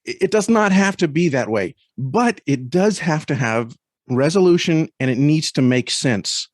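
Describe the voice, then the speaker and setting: A man speaking as a guest critic in a thoughtful podcast conversation, measured and often repeating words as he chooses them. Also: on the high side, expressive, no room sound, clean studio sound.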